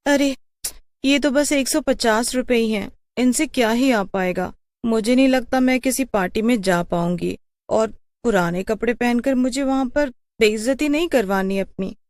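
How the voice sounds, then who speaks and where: An actress playing a poor but proud young college student in a story. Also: female voice, on the high side, normal speed, darkish tone, dry recording, close to an everyday voice. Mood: downcast.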